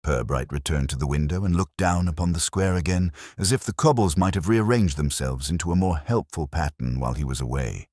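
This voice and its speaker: A man reading a scripted mystery story in a measured, wry, literary manner. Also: rumbling low, a little mellow, dry recording, clean studio sound. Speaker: narrator. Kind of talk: scripted mystery audiobook narration.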